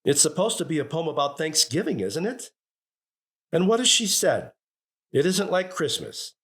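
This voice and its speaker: A man's voice: a little high, big pitch swings, normal speed, fairly bright tone. An actor playing an impatient, sarcastic middle-aged father with an American accent in an old-time radio sitcom. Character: exasperated, grumbling middle-aged family father, quick to interrupt.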